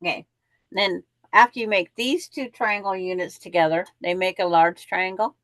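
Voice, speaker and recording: A woman's voice: on the low side, fairly even intonation, normal speed, a bit bright, no room sound. A woman hosting a quilting show, chatting in a rambling way and explaining how the block pieces fit together. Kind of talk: casual live chat and craft instruction.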